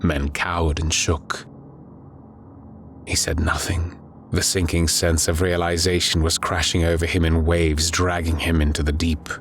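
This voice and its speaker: A man narrating a scripted horror audiobook in a grave, measured storytelling manner. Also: low-pitched, little pitch movement, a little hiss or hum.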